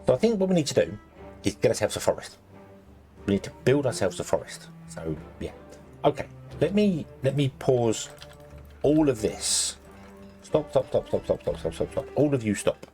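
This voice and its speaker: A man commentating his gameplay casually, rambling with lots of ums and restarts, and a little croaky as he loses his voice. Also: medium pitch, big pitch swings, moderate pace, warm tone, faint music behind. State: slightly croaky, losing his voice. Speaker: let's play host.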